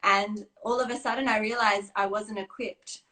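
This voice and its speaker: Woman guest, chatty and modest, filler-heavy, middling pitch, live video call. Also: steady tone, moderate pace, bright, forceful tone, low-quality recording. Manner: chatty, self-deprecating, many fillers. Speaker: guest, children's book creator. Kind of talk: casual live-stream interview. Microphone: phone or video call.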